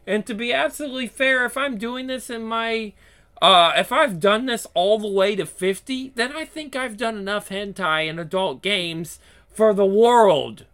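A man's voice: sits high, moderate pace, a bit bright. A man in his thirties hosting his own channel, talking bluntly and profanely in a rambling casual chat with many restarts. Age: 30s. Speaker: YouTube channel host.

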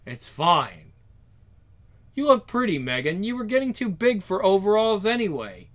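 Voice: mid-range voice, sing-song, moderate pace, lightly acted. A performer voicing a fussy, approving elderly grandmother in a story. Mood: approving, brisk.

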